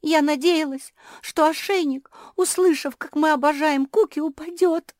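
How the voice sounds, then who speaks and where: A woman voicing an excitable, emotional little pug girl in a storybook, exclaiming breathlessly. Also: high voice, moderate pace, no room sound, clean studio sound, voice pushed up, natural voice. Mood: tearful.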